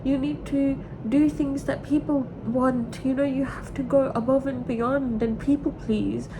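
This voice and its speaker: High-voiced young woman, noisy rumbling recording. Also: steady tone, a little slow. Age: 20s. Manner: candid, emotional, repeats herself for emphasis. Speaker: vlogger. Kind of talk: rambling confessional personal talk. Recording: clearly noisy with low rumble.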